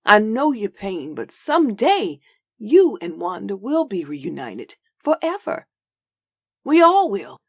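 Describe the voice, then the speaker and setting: Woman playing a slow, swooping old folksy granny. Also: mid-range voice, huge pitch swings, husky, dry recording, studio quality, exaggerated voice. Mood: warm, consoling. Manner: folksy Southern-style dialect, drawn out. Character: devout, loving, feisty old grandmother. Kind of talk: comic dub acting.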